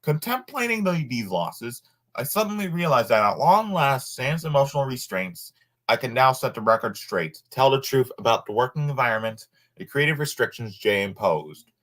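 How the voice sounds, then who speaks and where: A man reading a nonfiction book aloud informally, sometimes halting over words and adding asides. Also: mid-range voice, sing-song, fairly bright tone.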